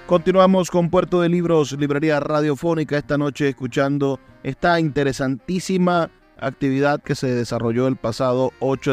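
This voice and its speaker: A man's voice: medium pitch, darkish tone, smooth. A man with a Venezuelan accent hosting a radio programme, introducing segments and addressing listeners. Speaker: radio show host. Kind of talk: radio presenting. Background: faint music.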